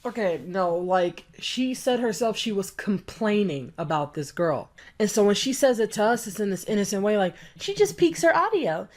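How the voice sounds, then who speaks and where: A woman's voice: medium pitch, big pitch swings, normal speed. A woman giving a sarcastic, exasperated commentary rant while reacting to a video. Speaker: commentary youtuber. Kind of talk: reaction rant over a clip.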